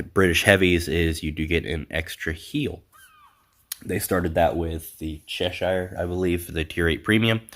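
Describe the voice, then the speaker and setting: A young man with a congested, nasal voice casually talking through a game build, with lots of ums and a relaxed, self-deprecating manner. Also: low voice. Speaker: gaming channel host. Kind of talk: casual game review commentary.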